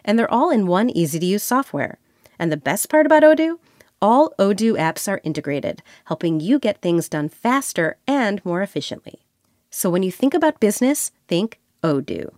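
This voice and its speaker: Lively woman reading an ad. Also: mid-range voice, normal speed, dry recording, clean studio sound. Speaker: sponsor announcer. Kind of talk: scripted advertisement read. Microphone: close studio mic.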